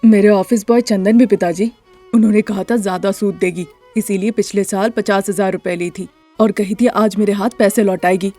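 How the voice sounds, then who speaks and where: A woman's voice: medium pitch, steady tone, normal speed, mellow, lightly acted. A woman voicing an embarrassed, worried adult son in a story, aggrieved and earnest, with music behind. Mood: upset.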